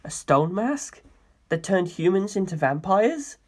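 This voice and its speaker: A man's voice: high-pitched, very animated, unhurried, a little mellow. A man narrating a dramatic story in the first person as an audiobook reader.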